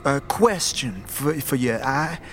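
A man's voice: medium pitch, animated, a little hiss or hum. A man playing a timid, muddled scavenger in a fantasy audio drama, stammering heavily and repeating his words. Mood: anxious, wistful. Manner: heavy stammer, halting, repeats words, third person. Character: timid, muddled male beggar who scavenges the dead.

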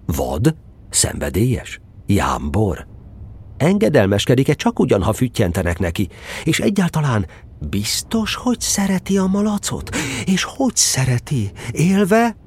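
Playful, lively male storybook narrator. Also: medium pitch, breathy edge. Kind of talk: storybook audio drama narration.

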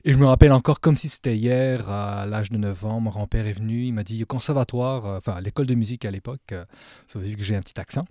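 A man from France with a French accent, a guest trumpeter and music teacher telling his story in a relaxed, self-mocking way with many fillers. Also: lowish voice, quick pace, no echo, studio quality. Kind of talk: relaxed podcast interview.

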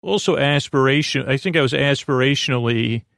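Male podcast host, creaky and lulling, wandering and self-interrupting delivery, very dry recording over faint music. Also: medium pitch, fairly bright tone, no echo. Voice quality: creaky, croaky. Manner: meandering, halting, stammering, self-interrupting; soothing, lulling. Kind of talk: rambling bedtime podcast monologue.

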